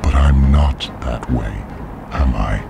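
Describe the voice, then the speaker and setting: Extremely deep, coaxing male voice. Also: gravelly, over music, full character voice. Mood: confiding. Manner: deliberate, intimate, urging. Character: deep hushed male inner voice, coaxing, commanding, manipulative. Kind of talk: audio drama acting.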